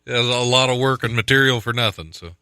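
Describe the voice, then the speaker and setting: A man co-hosting a podcast, a newer comic, asking questions in a halting, stumbling way. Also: mid-range voice, steady tone, sharp tone, smooth, no echo. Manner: hesitant, stumbling, asks questions. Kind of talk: casual podcast interview.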